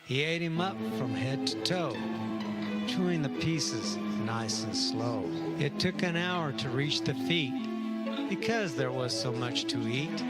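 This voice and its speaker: A man voicing a cartoon logician who recites comic verse in a deliberate, theatrical storytelling manner. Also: mid-range voice, natural voice, rough recording. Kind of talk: dramatic poetry recitation. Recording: loud music behind the voice. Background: music.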